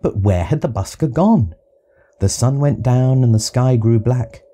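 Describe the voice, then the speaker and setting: A man's voice: medium pitch, expressive, measured pace, warm tone. A man narrating a children's audiobook, reading rhyming verse rhythmically and expressively. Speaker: storyteller reading to young children.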